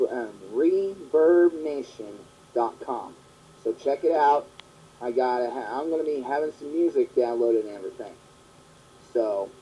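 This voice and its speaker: A young man hosting his own fan question show, talking in a rambling, earnest way that repeats itself, his voice hoarse and partly gone from a long cough. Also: a little high, slight background noise. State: sore throat, partly lost voice from a long cough. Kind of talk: casual monologue answering fan questions. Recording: dull lo-fi home recording.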